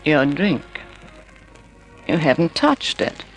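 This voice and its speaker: An actress playing a seductive, scheming femme fatale, poised and coaxing, in 1950s radio drama style. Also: female voice, low-pitched, sing-song, takes it slowly, heavily acted, low-quality recording. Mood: coy, seductive. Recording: old radio drama recording with music.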